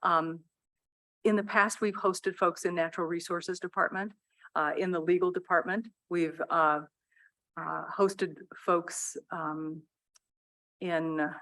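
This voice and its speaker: Lady introducing visitors to a council, kinda low voice, lots of ums, dry close sound. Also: fairly even intonation, slow, a bit bright, no room sound. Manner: polite, with many fillers. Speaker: program presenter addressing council. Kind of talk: formal presentation. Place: council meeting room.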